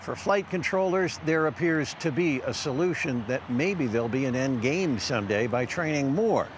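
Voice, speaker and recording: Male TV field reporter, American, lively varied intonation, scripted narration over faint vehicle ambience. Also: medium pitch, moderate pace, background noise.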